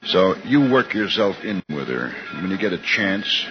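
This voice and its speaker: Brisk male detective, slangy and tough, lowish voice, vintage radio drama, muffled recording. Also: very animated, over music. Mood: businesslike, tough. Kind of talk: 1950s radio drama acting.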